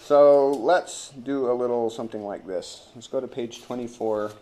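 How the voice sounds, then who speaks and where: A man's voice: medium pitch, moderate pace, dark tone. Man, teacher, mid-range voice, informal lecture, rambling, hesitant, wry. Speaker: literature teacher.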